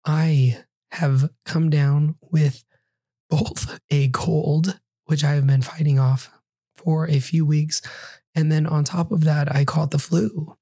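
Hoarse, husky man hosting a podcast. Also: fairly high pitch, level tone, takes it slowly, mellow, no echo. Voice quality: breathy, half-whispered in places. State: hoarse, recovering from losing his voice. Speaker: podcast host. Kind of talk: solo podcast book review.

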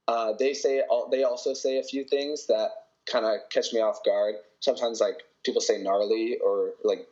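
Brief: young Canadian male athlete, easygoing, filler-heavy answers, slightly low voice, muffled lo-fi recording. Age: young adult. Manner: relaxed, many fillers and hedges. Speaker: college volleyball player. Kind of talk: interview answers.

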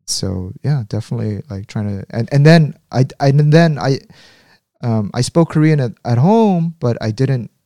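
A man's voice: mid-range voice, animated, normal speed, mellow, no echo. A man who grew up in the United States, speaking as an interview guest and telling his story frankly, often restarting his sentences. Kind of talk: candid conversational storytelling.

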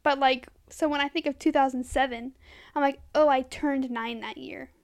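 High-voiced young woman, easygoing chat. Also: steady tone, normal speed. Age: 20s. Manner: easygoing, agreeable, chatty. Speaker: podcast co-host. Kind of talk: casual chat.

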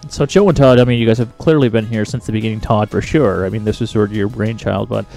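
A man co-hosts a podcast from a small studio, talking fast and rambling through restarts and jokes. His voice has a gravelly rasp. Faint music sits behind him. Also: lowish voice, warm tone. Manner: rambling, restarts often, jokey. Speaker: podcast co-host. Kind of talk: casual chat.

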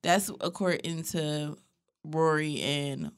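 A woman co-hosting a podcast in casual chat, speaking in a natural monotone, blunt and profane as she lays out a story at length. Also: deep voice, slow, clean tone. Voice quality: self-described natural monotone. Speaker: podcast co-host.

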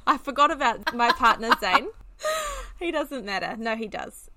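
Young kiwi mum chatting on a podcast, laughs at herself a lot, dead dry sound. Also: female voice, fairly high pitch, animated, normal speed, bright, forceful tone, no echo. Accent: New Zealand. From Australia. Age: 20s. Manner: chatty, laughs often, self-deprecating. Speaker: podcast co-host. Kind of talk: casual chat.

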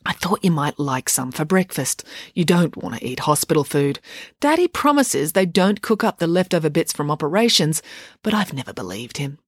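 A woman's voice: low-pitched, very animated, normal speed, slightly rough, dry recording, clean studio sound, exaggerated voice. A woman voicing a prim, cheerful rich girl who speaks bluntly.

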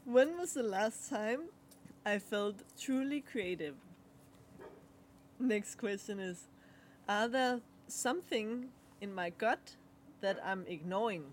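Woman with a Danish first language, halting. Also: mid-range voice, a little hiss or hum. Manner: halting, many pauses and fillers. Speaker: podcast co-host. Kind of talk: casual chat, card reading.

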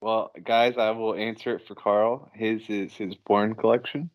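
A man's voice: on the low side, flat delivery, a little slow, lo-fi sound. A man co-hosting a podcast in casual banter, teasing and freely profane. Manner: teasing, profane, acts out imagined remarks. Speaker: podcast co-host.

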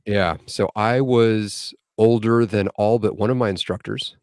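A man in his forties with a low voice tells a story in a laid-back, casual way, dropping the odd swear word. He is recorded on a close, dry podcast mic. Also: fairly even intonation, a little slow, a little mellow, clear, resonant tone, no room sound. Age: 40s. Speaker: podcast co-host and new EMT.

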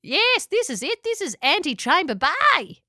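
A woman's voice: fairly high pitch, very animated, moderate pace, bright, forceful tone, smooth, no room sound, studio quality. A woman voicing an eager, chatty female pelican.

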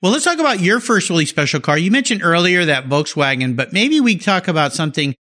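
A man's voice: high-pitched, big pitch swings, fast talker, sharp tone. A man hosting an interview, enthusiastic and upbeat. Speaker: podcast host. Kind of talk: interview host chat.

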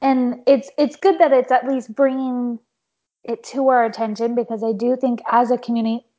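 A young woman hosting a casual conversation, a little hoarse, saying "like" often with an uneven pace. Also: a little high, little pitch movement, normal speed, warm tone. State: slightly hoarse. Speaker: podcast host. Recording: dull lo-fi sound.

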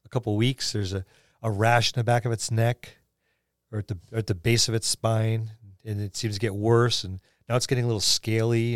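A man's voice: fairly low pitch, no echo. Male tabletop podcast host, dry sound. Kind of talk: casual tabletop gaming discussion.

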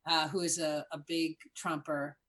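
A woman guest in casual conversation, telling an emphatic, animated story. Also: low-pitched, unhurried.

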